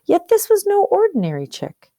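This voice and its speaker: A woman teacher reads a storybook aloud in a mellow, slightly low voice with big swings of intonation. She explains as she goes and puts questions to her listeners. The recording is close and dry. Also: wildly swooping pitch, normal speed, dry recording. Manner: explanatory, questioning, checks understanding. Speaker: teacher reading aloud to a class.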